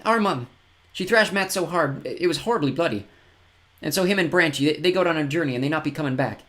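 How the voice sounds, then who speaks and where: A man's voice: on the high side, fast talker, fairly bright tone, pitched above the performer's own voice, lightly acted. A man voicing a grubby, cheeky young boy from the fen who talks in broken dialect grammar. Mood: eager.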